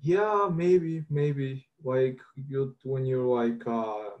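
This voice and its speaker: A man's voice: mid-range voice, extremely slow, muffled recording. A man from Bulgaria, Bulgarian his first language, speaking as an interview guest in a thoughtful, hesitant way with stammered restarts. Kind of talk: casual video-call interview.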